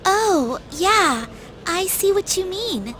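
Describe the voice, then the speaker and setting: A woman playing a chatty, outspoken high school classmate in a video game, talking in a casual, expressive way. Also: slow, full character voice. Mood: breezy griping.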